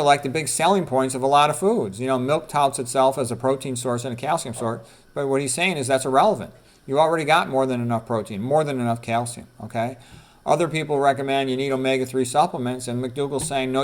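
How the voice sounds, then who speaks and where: A man presenting an informal lecture, reading out quotes and adding his own asides, emphatically repeating key points and putting questions to the listener. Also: mid-range voice. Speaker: presenter, medical doctor.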